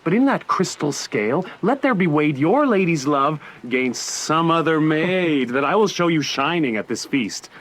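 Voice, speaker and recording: Man as high-voiced, teasing young nobleman. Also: animated, normal speed, a bit bright. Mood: persuasive. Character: brisk, teasing, persuasive young nobleman friend. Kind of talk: Shakespearean radio drama acting.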